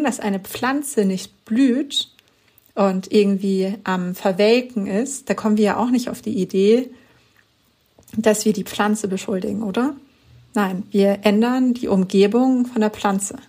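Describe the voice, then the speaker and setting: A young woman speaks with earnest emphasis as an author in a podcast interview. Her voice sits at a medium pitch, and she often rushes through phrases. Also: warm tone. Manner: earnest, emphatic, quick explaining. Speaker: author guest.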